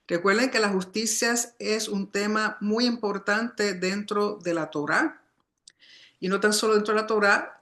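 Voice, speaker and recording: Female study teacher, unhurried didactic delivery, clear forward brightness in the tone. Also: mid-range voice. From Puerto Rican family, born outside the island. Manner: didactic, explanatory, often reminding listeners. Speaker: religious teacher. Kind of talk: Torah study lecture.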